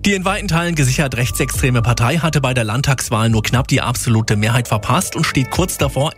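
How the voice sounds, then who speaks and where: A man delivers a scripted radio news report at a medium pitch. Faint music runs beneath his voice.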